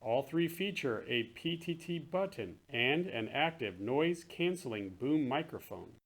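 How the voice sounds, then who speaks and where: A man with a mid-pitched voice presents products for a promotional video. He speaks as a straightforward company presenter, explaining things plainly. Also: lively intonation, a little slow. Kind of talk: scripted product narration, later casual demo chat.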